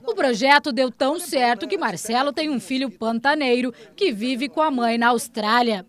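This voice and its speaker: A woman reporter reading scripted news narration in an even, measured voice, with a Brazilian Portuguese accent. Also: sits high.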